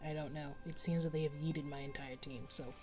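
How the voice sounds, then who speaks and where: A woman's voice: deep voice, steady tone, brisk, music in the background. A woman giving casual, understated, bemused commentary as she streams a video game. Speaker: live streamer. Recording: faint noise, game audio behind. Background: video game sound.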